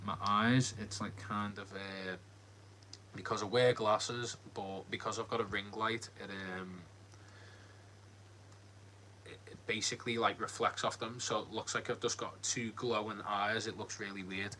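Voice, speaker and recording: A man's voice: lowish voice, fairly even intonation, measured pace, pushed and bright, a little hiss or hum, low-quality recording. Rambling Scouse man chatting live. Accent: Liverpool (Scouse). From Liverpool, England. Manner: rambling, many ums and likes, friendly. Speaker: livestream host. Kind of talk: casual live Q&A chat reading comments. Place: home, lit by a ring light.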